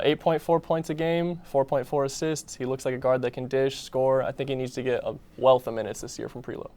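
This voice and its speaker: Quick, clear-voiced man talking sports. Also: mid-range voice, darkish tone. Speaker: sports show panelist. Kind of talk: casual sports commentary.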